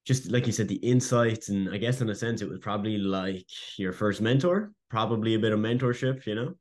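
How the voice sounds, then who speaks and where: A man's voice: fairly low pitch, a little fast, a little mellow. A man hosting a casual interview, upbeat and informal, with loose phrasing and fillers. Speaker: host and business coach.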